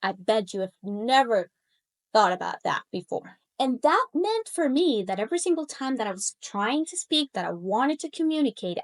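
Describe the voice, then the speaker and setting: Expressive Colombian woman telling her story. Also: on the high side, low-quality recording. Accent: Colombian Spanish. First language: Spanish. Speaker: Spanish language coach. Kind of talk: personal storytelling to camera.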